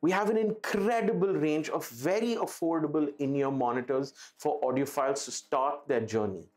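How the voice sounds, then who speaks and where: A man with an Indian accent presents a product as if talking straight to viewers. His delivery is keen and emphatic, leaning on key words. Soft music plays faintly underneath his voice. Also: on the high side, normal speed. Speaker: presenter for an audio retailer. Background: background music.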